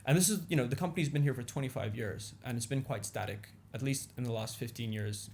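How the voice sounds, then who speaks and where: Fast-talking young man, podcast guest. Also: medium pitch, gravelly, a little mellow, a little hiss or hum. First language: Indonesian. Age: young adult. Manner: repetitive, halting phrasing with frequent "you know" and "like". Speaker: podcast guest, business owner. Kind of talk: casual interview chat. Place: small indoor podcast studio, seated on a couch. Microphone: boom-arm studio mic with pop filter.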